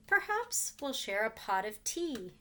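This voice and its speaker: A woman voicing a kindly male zookeeper who is sick in bed, with a gentle, slightly lifted storybook delivery. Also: fairly high pitch, big pitch swings, unhurried.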